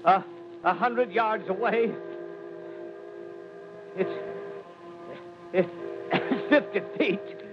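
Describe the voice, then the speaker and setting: A man acting a doomed radio reporter in a 1930s drama, halting and strained, on a muffled old broadcast recording. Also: high-pitched, music in the background. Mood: grim, failing. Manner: halting, strained, slowing delivery. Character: doomed male radio reporter choking as poison smoke nears.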